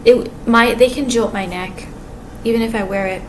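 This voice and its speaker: A quiet-voiced woman talks to camera in a loose, rambling way, often stopping and restarting her sentences. Her voice sits a little high. Steady room ambience hums clearly behind her. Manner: rambling, halting, many restarts and fillers. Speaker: vlogger. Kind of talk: casual monologue to camera. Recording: clear background ambience noise.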